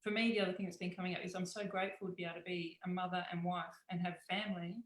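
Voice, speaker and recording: A woman's voice: fairly low pitch, little pitch movement, racing, reverberant, low-quality recording. A woman from Western Australia, a guest on a live video call from home, enthusiastic and gushing. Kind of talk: casual spiritual chat on a live video call.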